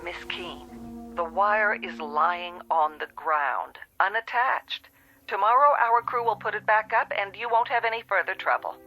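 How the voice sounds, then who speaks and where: An actress playing a patient, matter-of-fact telephone operator in a vintage television drama, heard as if over the phone. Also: female voice, mid-range voice, pushed and bright, soft background music. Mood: gently firm. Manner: measured, polite, apologetic.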